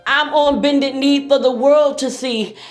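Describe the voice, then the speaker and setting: A woman performing spoken word poetry with a slow, pleading, mournful delivery. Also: high-pitched, steady tone, reverberant, faint music behind.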